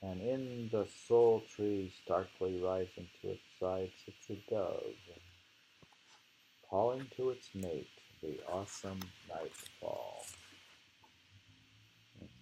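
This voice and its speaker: A man's voice: sits low, expressive, takes it slowly, creaky, pauses often, warm tone, slight background noise, rough recording. A man reading aloud from a book of poetry and commenting informally, in a rambling, musing manner. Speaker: reader leading a poetry book discussion.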